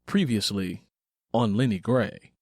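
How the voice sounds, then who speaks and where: A man narrating a scripted audio drama in a measured, dramatic storytelling manner. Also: lowish voice, darkish tone, no echo. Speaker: narrator and writer.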